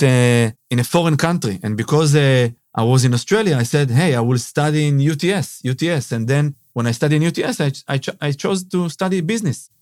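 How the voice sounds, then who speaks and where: A man's voice: mid-range voice, a little mellow, no room sound, clean studio sound. Israeli-accented man hosting a podcast. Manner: non-native phrasing, frequent "uh" and "basically". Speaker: podcast host and business owner. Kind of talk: casual monologue.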